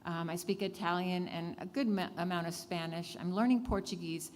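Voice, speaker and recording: A woman born in Switzerland whose first language is German, a singer-songwriter who leads a sing-along and then talks softly with fillers in a live radio session. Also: fairly low pitch, steady tone, moderate pace, long reverb tail, slight background noise. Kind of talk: live song with spoken interview. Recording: live radio studio with guitar.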